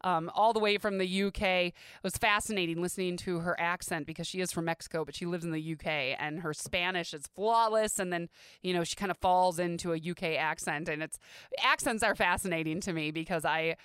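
A woman's voice: on the low side, fairly even intonation, fairly quick, a bit bright, smooth, dry recording, clean studio sound. A woman from the American Midwest hosting a podcast, chatty, upbeat and encouraging. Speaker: podcast host. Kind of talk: casual podcast chat.

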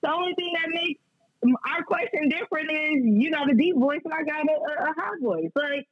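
A woman's voice: sits high, a little fast, dry recording, muffled recording. A woman speaking candidly and slangily as a guest sports reporter in a casual podcast conversation.

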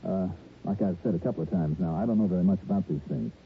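A cool American investigator speaks low and fast in a dry, clipped, hard-boiled way. The sound is that of old radio detective drama, with a narrow, muffled band. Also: male voice, slightly rough. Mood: probing, businesslike. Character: cool, matter-of-fact male insurance investigator narrating his case.

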